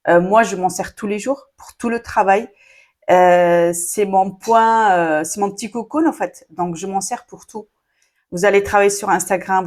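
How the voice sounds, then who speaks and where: Woman, online business coach, casual chatty delivery, lots of fillers and asides, Arabic first language. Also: mid-range voice. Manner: chatty, frequent fillers, addresses viewers directly. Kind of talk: casual talking-to-camera advice.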